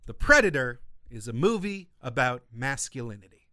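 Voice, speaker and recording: A man's voice: medium pitch, very animated, normal speed, sharp tone, no room sound, studio quality. A man co-hosting a film podcast in casual chat, telling stories animatedly and swearing freely. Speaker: podcast co-host.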